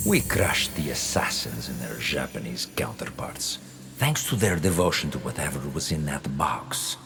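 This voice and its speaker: An actor playing an arrogant, calculating commander in a game cutscene, speaking with deliberate, imperious self-assurance. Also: male voice, a bass voice, fairly bright tone, a little hiss or hum, exaggerated voice. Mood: confident, menacing. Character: commanding male schemer, arrogant, calculating, coolly menacing. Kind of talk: dramatic game cutscene dialogue.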